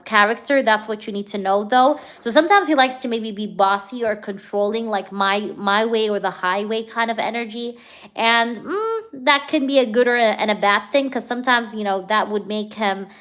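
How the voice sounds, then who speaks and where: A woman doing a live tarot reading for a viewer. She talks in a chatty, energetic way, full of "you know" and "okay", and keeps checking whether things make sense to the viewer. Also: on the high side. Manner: addresses the viewer directly, asks if things resonate. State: hyper, energetic. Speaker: tarot reader.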